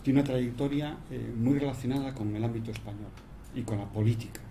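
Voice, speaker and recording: A man formally introduces a guest speaker at an event, speaking in a measured, slightly hesitant way. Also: medium pitch, fairly even intonation. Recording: noisy room recording.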